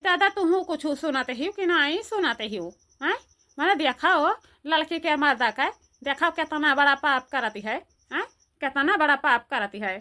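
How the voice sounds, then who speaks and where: A woman voicing an excitable, complaining, gossipy village woman in a cartoon, exclaiming and repeating herself in an Awadhi accent. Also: high-pitched, moderate pace. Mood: alarmed, gossipy. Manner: repetitive, exclamatory. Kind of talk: amateur cartoon voice acting.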